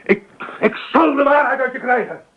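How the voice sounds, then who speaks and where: An actor in a Dutch radio play voicing a stuffy, jealous, suspicious husband who scolds and stammers when worked up. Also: male voice, very high-pitched, moderate pace, slightly rough. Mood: agitated, jealous. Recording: dull, lo-fi radio play recording.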